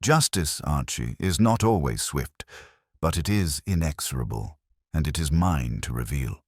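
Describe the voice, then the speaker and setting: Guy reading as a pompous genius detective, super low voice, formal and grand, audiobook style. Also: expressive, unhurried, darkish tone, dry recording, studio quality, exaggerated voice. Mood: solemn pronouncing. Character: weighty, pompous, brilliant male private detective.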